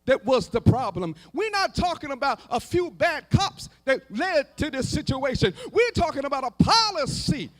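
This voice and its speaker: Very high-pitched American man preaching with fire. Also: very animated, normal speed, few pauses. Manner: emphatic, rhythmic repetition for rhetorical effect; swings from hushed lines to shouted, half-sung cries. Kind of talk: impassioned sermon to a live gathering. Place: live public event before a gathering.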